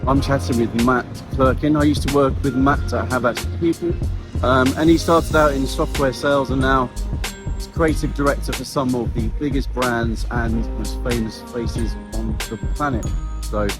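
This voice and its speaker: A man with a mid-pitched voice introduces the episode and speaks directly to the listener. Clear music plays under his voice. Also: steady tone, normal speed. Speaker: podcast host.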